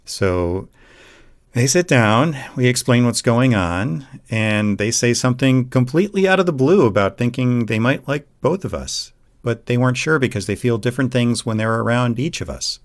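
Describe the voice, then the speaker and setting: A man playing a devoted, gentle boyfriend in an audio roleplay, talking casually and earnestly. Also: mid-range voice, lively intonation, moderate pace, lightly acted. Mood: casual, fond. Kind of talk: amateur audio roleplay acting.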